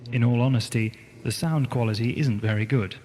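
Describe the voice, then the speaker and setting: Casting: male announcer, mid-pitched, formal scripted read, reverberant with faint noise behind. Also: brisk, dark tone. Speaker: announcer for an audio drama producer.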